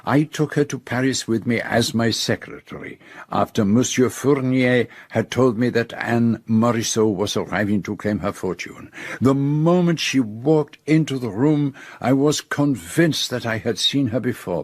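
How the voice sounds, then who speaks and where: An actor playing a confident, methodical detective in a radio drama, speaking formally and deliberately as he lays out a case. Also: mid-range voice, animated, no room sound. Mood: grave, explanatory. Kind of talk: radio drama acting, detective's denouement monologue.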